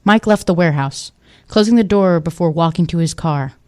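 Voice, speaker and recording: A woman's voice: on the low side, fairly quick, a little mellow. A woman giving scripted story narration for an audio drama.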